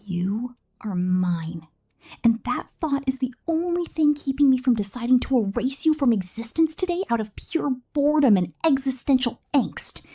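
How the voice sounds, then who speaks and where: A woman voicing a possessive, cold female killer drone robot in a breathy, slow, menacing whisper for an ASMR roleplay. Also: sits high, expressive, warm tone.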